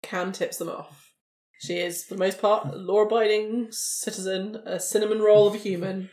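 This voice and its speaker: Roomy-sounding tabletop player, medium pitch, casual improvised storytelling. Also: level tone, moderate pace, darkish tone.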